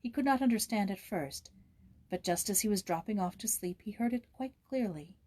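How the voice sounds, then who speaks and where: A woman narrating a storybook aloud in a gentle, measured, expressive way. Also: mid-range voice.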